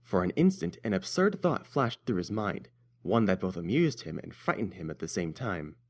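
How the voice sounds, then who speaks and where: A man's voice: deep voice, big pitch swings, normal speed. A man narrating a scripted fan-fiction story as an audiobook reader.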